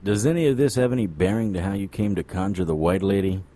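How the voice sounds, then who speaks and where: An actor playing a cynical, world-weary private detective in a noir radio drama, wry and sardonic. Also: male voice, deep voice, animated, fast, mellow. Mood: sardonic, impatient. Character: cynical male hard-boiled occult private detective.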